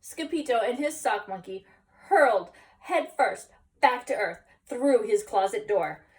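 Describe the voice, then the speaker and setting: A woman reading a picture book aloud expressively, pausing to explain and ask children questions. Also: a little high, recorded from a distance. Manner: animated, pauses to explain and question. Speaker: teacher reading at school story time. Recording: voice some way from the mic.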